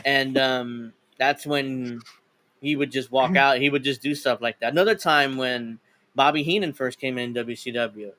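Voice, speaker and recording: A man's voice: medium pitch, normal speed, a bit bright. Laid-back swearing man chatting wrestling. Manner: laid-back, swears freely, laughs while retelling stories. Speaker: podcast co-host. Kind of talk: casual wrestling chat.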